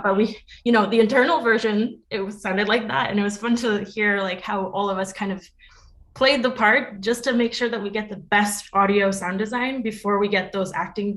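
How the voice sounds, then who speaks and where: Chatty woman in a casual interview. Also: medium pitch. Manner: chatty, laughs often. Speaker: interview guest, podcast producer. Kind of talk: casual, animated interview chat.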